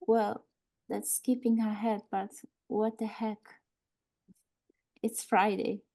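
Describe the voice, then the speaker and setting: Smug government agent in nurse guise, played by a woman: unhurried, mellow, gravelly edge, condescending table-read delivery. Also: medium pitch, level tone. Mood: breezy, mock-soothing. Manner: deliberate, condescending, mock-soothing.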